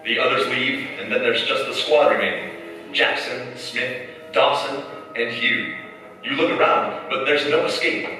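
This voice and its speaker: A man's voice: medium pitch, measured pace, bright, forceful tone. A man playing a grave, urgent narrator in a staged 1940s radio drama, dramatic and intense. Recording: echoey hall, far mic, music behind. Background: music.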